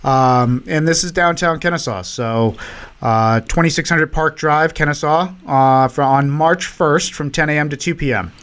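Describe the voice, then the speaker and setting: A man's voice: fairly high pitch, normal speed, fairly bright tone, clean tone. A man with a Massachusetts accent co-hosting a podcast, running through local event listings in an easygoing, folksy way. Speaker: podcast co-host. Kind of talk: casual chat reading out local event listings.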